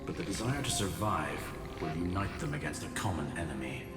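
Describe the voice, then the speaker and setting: A man voicing a calm, eloquent game strategist who speaks formally and with assurance. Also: deep voice, a little fast. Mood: assured. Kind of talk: dramatic video game dialogue. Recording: echoing reverb, loud music behind.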